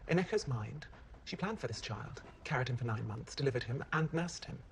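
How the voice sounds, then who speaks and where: An actor playing a composed, clinical official who speaks formally and matter-of-factly, in naturalistic screen drama. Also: male voice, mid-range voice, lively intonation, close to an everyday voice. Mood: calm, firm. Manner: measured phrasing, formal, matter-of-fact. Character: composed, clinical male official defending his organisation. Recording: noisy location sound.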